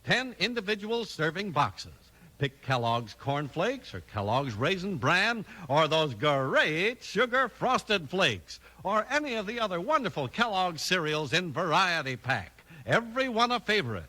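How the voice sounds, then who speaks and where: A man's voice: high voice, sing-song, a little slow, bright, forceful tone. A man with an American accent announcing and reading a sponsor message in 1950s radio style. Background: music.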